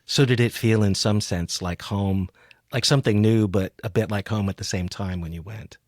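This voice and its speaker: A man's voice: fairly low pitch, moderate pace, darkish tone, dry recording, studio quality. A man hosting a podcast, talking in a casual, conversational interview style. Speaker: podcast host. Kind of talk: casual conversational interview, some poetry reading.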